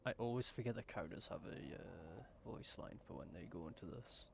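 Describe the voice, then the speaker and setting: A man giving casual, low-key commentary as he plays a game, half muttering to himself. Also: a little fast, gravelly, slight background noise. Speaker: gaming commentator. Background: game music.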